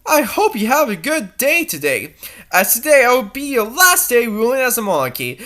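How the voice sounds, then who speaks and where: Male robot servant, voiced by a woman: formal, attentive, pushy, exaggerated swoops in a bright, raised voice. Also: fairly high pitch, huge pitch swings, normal speed, voice pushed up. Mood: insistent.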